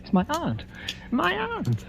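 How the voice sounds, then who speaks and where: A man's voice: very high-pitched, huge pitch swings, slow, vocal fry, fairly bright tone, slight background noise, exaggerated voice. An actor playing an arrogant, formally polite, sardonic man in his late thirties in an old-time radio drama. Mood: panicked. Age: late 30s. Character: arrogant, well-groomed murder suspect, mocking, then panicked. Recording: vintage radio recording, music behind.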